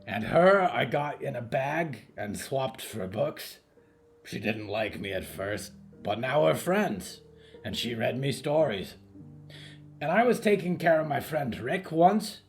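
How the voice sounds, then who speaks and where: A man voicing a huge, gentle, simple-minded giant with earnest, childlike phrasing in an audio fiction story. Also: mid-range voice, animated, slow pace, soft background music, pitched above the performer's own voice. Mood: earnest storytelling.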